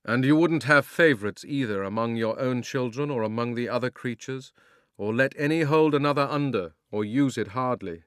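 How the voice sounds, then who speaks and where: A man reading a storybook, voicing a majestic male talking lion with grave, measured, commanding speech. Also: mid-range voice, fairly bright tone, smooth, no room sound, studio quality, close to an everyday voice. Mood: solemn.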